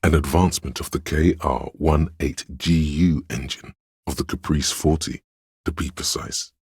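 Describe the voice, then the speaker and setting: A pedantic, all-knowing inner voice from a video game. It is extremely low and dry in the recording, with no room around it. The delivery is measured and scholarly, laying out facts like scripted lore. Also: male voice, fairly even intonation, a little mellow, breathy edge, dry recording, heavily acted. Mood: informative. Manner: measured, learned, didactic. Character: pedantic, erudite inner voice of encyclopedic knowledge. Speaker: game character voice, narrator of lore. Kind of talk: scripted narration, delivering trivia.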